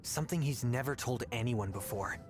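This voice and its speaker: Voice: medium pitch, brisk, natural voice. Someone doing a keen young adventurer, earnest, music in the back. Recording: game audio with music behind.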